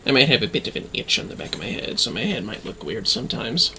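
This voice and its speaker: A man's voice: medium pitch, big pitch swings, quick pace, creaky, pushed and bright, a little hiss or hum. A man livestreaming a game with casual, playful, theatrical commentary. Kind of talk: casual playthrough commentary, reading dialogue aloud playfully. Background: faint game music.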